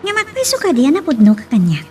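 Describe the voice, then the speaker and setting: A woman voice-acting a lively, teasing young woman being courted in an Ilocano love drama. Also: high-pitched, quick pace, slight background noise. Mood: playful, demanding.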